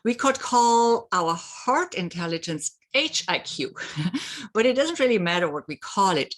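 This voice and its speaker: A woman's voice: mid-range voice, very animated, measured pace, fairly bright tone. A middle-aged woman whose first language is German, hosting a reflective solo talk about heart and courage and leading a short exercise, earnest and repeating herself with small restarts. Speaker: host.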